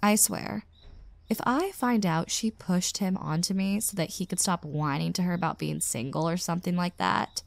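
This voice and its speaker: Lady acting as fed up young single woman in an audio roleplay, venting with sarcastic asides, lots of vocal fry, really dry sound. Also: on the low side, moderate pace, no echo. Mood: exasperated. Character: exasperated single young woman rescued from a bad date. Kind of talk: roleplay audio drama, intimate conversational monologue.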